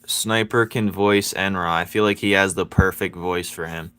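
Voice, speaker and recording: A man chatting casually with his viewers while he draws, playful and quick to laugh as he banters with them. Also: sits low, steady tone, sharp tone, smooth, no echo. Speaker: streaming artist. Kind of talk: casual chat while drawing.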